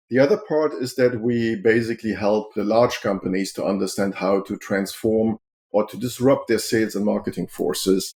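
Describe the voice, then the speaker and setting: A middle-aged man from Germany, a healthcare consultant, answering interview questions in a measured, explanatory way with frequent fillers. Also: lowish voice, darkish tone.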